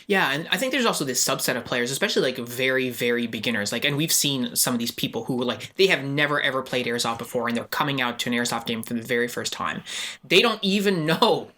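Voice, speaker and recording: Bright-voiced chatty man. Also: mid-range voice, expressive, quick pace. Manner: chatty, laughs easily, asks the guest questions. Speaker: podcast co-host. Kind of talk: casual chat. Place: studio.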